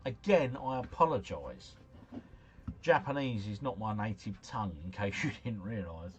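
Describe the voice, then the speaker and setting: A man's voice: on the low side, very animated, moderate pace, a little hiss or hum. A man hosting a livestream, playing a quiz game while chatting with viewers, reading out their messages, and stumbling over foreign names. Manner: chatty, jokey, reads chat messages aloud, mumbles foreign names. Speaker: livestream host.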